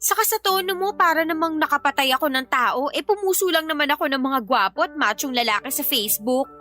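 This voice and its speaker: A woman plays a sharp-tongued young Filipina mother bickering in an audio drama. Her voice is very high and bright, with a scornful, dismissive manner. Faint music sits behind her. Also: fast. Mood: mocking, scornful. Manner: sassy, scolding, dismissive. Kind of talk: Filipino radio-style drama acting.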